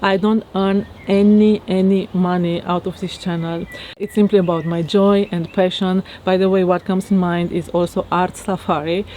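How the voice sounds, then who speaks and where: Romanian-accented woman, halting reflective vlog talk. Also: lowish voice, flat delivery, warm tone, slight background noise. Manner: reflective, halting with many "uh" fillers. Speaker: vlogger. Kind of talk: casual monologue to camera. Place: outdoors in her garden.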